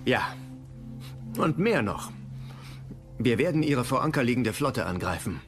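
A man's voice: mid-range voice, very animated, measured pace, faint music behind. An actor playing a resolute, persuasive Dutch statesman in a history docudrama, assured and commanding. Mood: determined. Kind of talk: dramatised history documentary dialogue.

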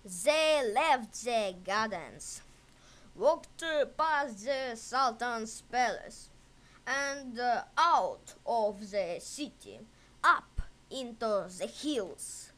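A woman reading a fairy tale expressively and deliberately for children and English learners. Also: fairly high pitch, lively intonation, a bit bright. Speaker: storyteller, English teacher.

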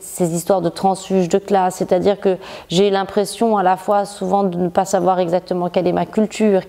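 Thoughtful Moroccan-born woman novelist, mellow voice. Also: mid-range voice, gravelly. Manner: thoughtful, many restarts and filler pauses. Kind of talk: reflective interview monologue. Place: bright living room by a large window.

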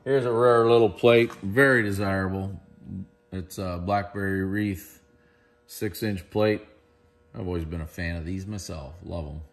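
A man's voice: low-pitched, unhurried. A middle-aged man presenting auction lots in a casual, enthusiastic way, recorded on a handheld camera in a large warehouse room. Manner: enthusiastic, chatty, praises pieces. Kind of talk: casual walkthrough of auction items.

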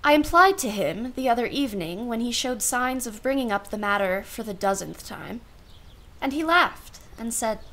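A woman's voice: fairly high pitch, a bit bright. A woman playing a wry, world-weary aunt in a radio play, with a dry, arch manner and formal phrasing. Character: wry, world-weary aunt minding her young niece. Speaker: actress.

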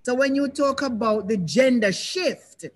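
An older woman moderating a panel discussion over a video call, speaking in a reflective, measured way with pauses and restarts. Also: fairly high pitch. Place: indoors, in front of a banner.

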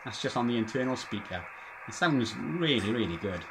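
A man talks offhand over his radio in a home shack, his voice in the room. Clear static runs behind him. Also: mid-range voice, animated, normal speed. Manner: offhand, wry remarks. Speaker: radio amateur listening. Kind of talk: casual commentary at the radio.

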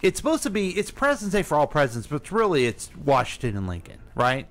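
A man's voice: on the high side, wildly swooping pitch, fast, a bit bright. A man co-hosting a radio show in casual, informal conversation. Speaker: radio co-host asking questions.

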